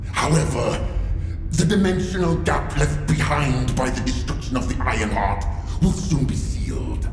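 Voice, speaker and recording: A man playing a commanding alien warlord in a video game, proud and desperate, with gravelly, formal, forceful speech. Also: a little high. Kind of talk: scripted video game drama. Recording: roomy echo with clear noise.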